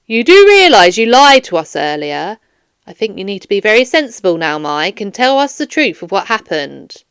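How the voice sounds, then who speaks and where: A woman's voice: mid-range voice, very animated, fairly bright tone, clear, resonant tone, no echo, studio quality, pitched below the performer's own voice. A woman voicing a firm, formal police detective questioning a suspect in a children's audiobook. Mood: stern. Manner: formal, measured, stern. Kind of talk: children's audiobook character dialogue.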